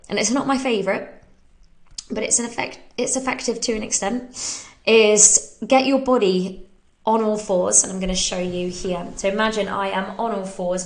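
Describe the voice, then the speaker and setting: An English woman coaching a live fitness Q&A in a relaxed, chatty way, breaking into laughs as she explains push-up technique. Her voice is slightly bunged up from hay fever. She talks warmly and informally, as if to friends following along at home. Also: mid-range voice, rough recording. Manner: chatty and upbeat, laughs often. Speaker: fitness coach and podcast host. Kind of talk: casual live Q&A, talking through exercise technique.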